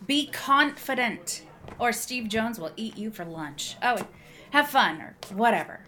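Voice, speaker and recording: A woman playing a brusque, imperious and exasperated casting director in a radio play, commanding and deadpan. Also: fairly high pitch, unhurried. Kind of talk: scripted radio play acting.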